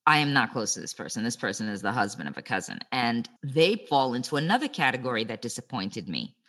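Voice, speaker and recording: A woman co-hosting a podcast, speaking in a measured, thoughtful way in casual political chat. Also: very deep voice, big pitch swings, fairly bright tone. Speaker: podcast co-host.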